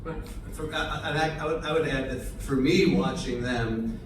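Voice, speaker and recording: A man's voice: mid-range voice, measured pace. A man, the show's creator, explaining choices in a thoughtful, anecdotal way with light chuckles. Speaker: series creator. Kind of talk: interview answers. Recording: echoey room, distant mic, noise.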